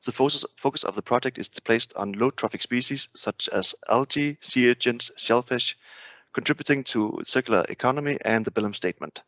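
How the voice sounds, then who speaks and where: A man's voice: medium pitch, a little fast, fairly bright tone, dry recording. A man in his thirties with a Danish accent, hosting a webinar over a headset in a friendly, hesitant way. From Denmark. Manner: friendly, hesitant, with many fillers. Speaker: webinar host. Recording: dry, muffled video call audio.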